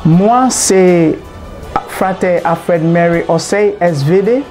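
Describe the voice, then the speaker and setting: A man's voice: high voice, takes it slowly, a little mellow, soft background music. A young man from Ghana, a seminarian, giving an earnest, formal self-introduction.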